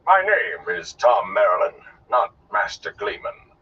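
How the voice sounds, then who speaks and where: Man performing a haughty old storybook showman, theatrical, swooping intonation, drawn-out words, dull slightly distant recording, faint noise. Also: very animated, slightly rough, full character voice. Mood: grand, proud. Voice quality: deep, resonant. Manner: grandiloquent, declamatory, drawn-out delivery. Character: flamboyant, haughty old male gleeman, theatrical and scornful. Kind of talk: audiobook character voice.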